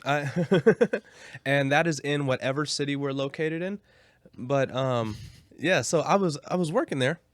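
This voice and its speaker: A man's voice: a little high, a little slow, no echo, clean studio sound. A young man chatting casually as a podcast co-host, telling stories in a slangy, joking way. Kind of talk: casual comedic podcast chat.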